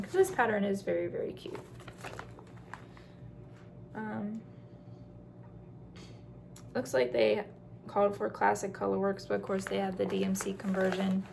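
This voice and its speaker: A woman's voice: mid-range voice, creaky, darkish tone, slight background noise. A woman chatting casually through a craft show-and-tell as host, in a rambling way with self-deprecating asides.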